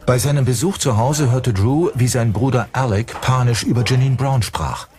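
A man's voice: mid-range voice, normal speed, a little mellow, soft background music. A man reading scripted true-crime documentary narration as the narrator.

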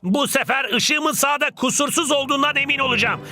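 A man's voice: very high-pitched, fairly quick, pushed and bright, soft background music, full character voice. A man voicing a vain, preening cartoon football star with a pompous, melodramatic delivery. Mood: indignant vanity. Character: vain, preening male star footballer, fussy and self-adoring. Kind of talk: animated children's cartoon dub.

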